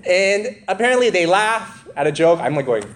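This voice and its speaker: Animated male preacher, higher-pitched voice. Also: moderate pace, slight background noise. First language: English. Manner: asks the congregation questions, frequent check-in tags like "right?" and "okay?". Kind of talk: expository sermon.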